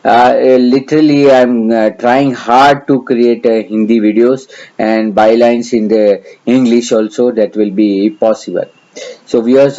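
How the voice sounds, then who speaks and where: A man's voice: mid-range voice, warm tone, lo-fi sound. A middle-aged man from India with a Gujarati accent, teaching astrology in a hesitant, earnest way with many fillers. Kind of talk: lecture.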